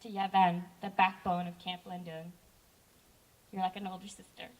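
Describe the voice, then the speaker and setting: A woman's voice: on the low side, little pitch movement, normal speed, recorded from a distance, poor audio. Earnest young woman giving a speech. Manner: heartfelt, earnest, with brief self-corrections. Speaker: camp director giving a speech. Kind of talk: personal speech to campers.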